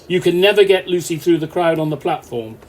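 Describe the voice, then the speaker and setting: A man's voice: on the high side, expressive, a little fast. A man acting an earnest, authoritative off-duty policeman in an amateur radio play. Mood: urgent, pleading.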